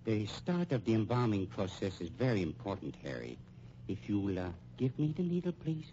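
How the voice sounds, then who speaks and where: A man playing a suave, politely sinister funeral director in a 1950s radio crime drama, speaking in formal, measured phrases. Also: lowish voice, very animated, dark tone. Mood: mock courtesy. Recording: muffled, noisy 1950s radio recording.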